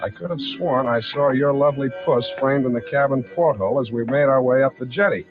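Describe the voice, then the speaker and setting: Male actor, wry hard-boiled detective, deadpan radio noir narration over music, thin vintage sound. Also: medium pitch, brisk, natural voice. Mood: sardonic. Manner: sardonic, deadpan. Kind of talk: 1940s radio acting, first-person noir narration. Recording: old lo-fi radio recording with music.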